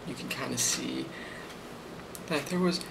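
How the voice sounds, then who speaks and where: A man acting as a friendly office boss in an ASMR role play, with a creaky, mid-pitched voice. He rambles softly and hesitantly, reassuring as he goes. Loud noise runs constantly behind him. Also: measured pace. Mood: calm, pleased. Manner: soft-spoken, hesitant, reassuring.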